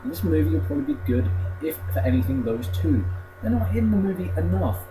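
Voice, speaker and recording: A man's voice: a little high, expressive, quick pace, poor audio. A man with an English accent giving a casual, rambling movie review in an unenthusiastic, conversational way. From England. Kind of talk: casual spoken review to camera. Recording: music playing behind the voice. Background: music.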